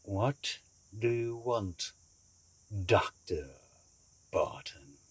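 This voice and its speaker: An actor playing a calculating villain boss in an audio drama, husky and deliberate, with silky, commanding courtesy. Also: male voice, lowish voice, animated, dry recording, heavily acted. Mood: coolly displeased. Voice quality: husky, raspy. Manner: slow, deliberate, silkily polite.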